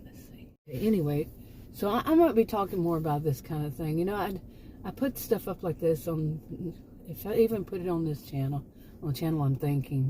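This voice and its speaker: A woman's voice: low-pitched, dark tone, a little hiss or hum, low-quality recording. A woman in her late fifties chatting to camera in a rambling, exasperated way, full of restarts and asides. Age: 50s. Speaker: vlogger. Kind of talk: casual chat to camera.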